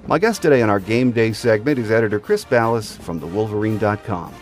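A man's voice: lowish voice, fairly quick, soft background music. A middle-aged or older American man delivering scripted sports commentary as a podcast host.

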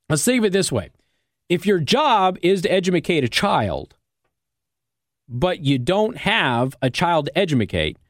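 American guy doing opinionated talk radio, voice a bit high and swinging all over, dry close studio mic. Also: no room sound. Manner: emphatic, argumentative, rhetorical check-ins like "okay?". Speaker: radio talk show host. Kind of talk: opinionated news commentary, conversational monologue.

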